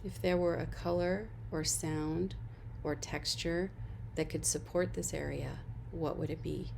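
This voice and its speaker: A woman leading a guided body-scan meditation as an instructor, giving gentle, unhurried instructions and soft invitations. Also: low-pitched, fairly even intonation, creaky, a little hiss or hum.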